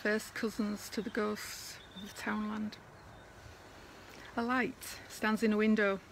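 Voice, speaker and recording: A woman's voice: medium pitch, little pitch movement, slow pace, noisy background. A woman reader giving a dramatic recitation of poetry.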